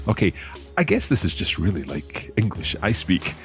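A Scottish man hosting a language podcast, speaking in an upbeat, careful way and practising phrases slowly. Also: low voice, wildly swooping pitch, faint music behind. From Scotland. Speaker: language course host. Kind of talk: scripted podcast lesson.

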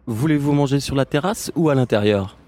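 A man's voice: medium pitch, fairly quick, darkish tone. Polite French-speaking male waiter. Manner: polite, formal service speech. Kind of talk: scripted role-play dialogue.

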